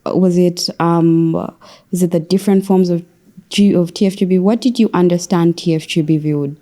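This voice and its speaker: A woman's voice: lowish voice, steady tone, normal speed, warm tone. A young woman hosting a conversational panel podcast, wordy and rephrasing her questions as she goes. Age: young adult. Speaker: podcast host.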